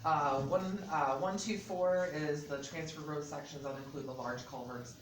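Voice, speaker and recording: Loud-voiced man in an echoey meeting room. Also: a little high, fairly even intonation, normal speed. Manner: methodical, walks through sections point by point. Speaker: state transportation department representative. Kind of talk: explaining an agreement at a public meeting. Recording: echoey hall, distant mic, background noise.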